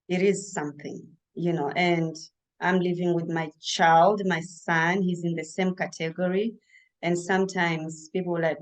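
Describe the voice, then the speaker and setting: A woman hosting a casual interview, talking emphatically and addressing her guest directly. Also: mid-range voice. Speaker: host.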